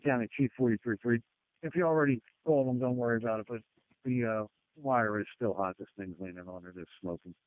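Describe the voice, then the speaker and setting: A man's voice: medium pitch, fairly quick, no echo. A man working as a fire officer, giving terse, matter-of-fact procedural reports over two-way radio. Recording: phone-like narrowband two-way radio.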